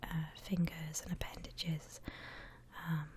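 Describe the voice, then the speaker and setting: A woman whispering gently and breathily as she guides listeners through a drawing, in the style of an ASMR tutorial. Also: low voice, level tone. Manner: gentle, unhurried step-by-step explaining. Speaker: host of an art ASMR channel.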